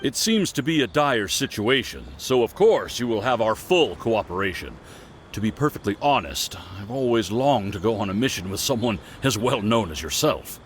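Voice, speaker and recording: Animated, fawning security officer. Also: male voice, lowish voice, moderate pace, a little hiss or hum. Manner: flattering, formal, obsequious. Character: eager, deferential male desert security officer. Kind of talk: video game cutscene acting.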